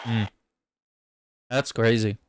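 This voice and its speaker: A man hosting casual political commentary, reacting to clips in a halting way and often repeating his words. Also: medium pitch, steady tone, background noise.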